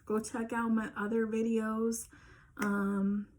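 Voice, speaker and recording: A woman's voice: fairly high pitch, little pitch movement, takes it slowly, a little mellow. A young woman telling viewers a personal story in a casual, earnest way, talking straight to her audience. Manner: earnest, insistent, addresses viewers directly. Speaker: YouTuber.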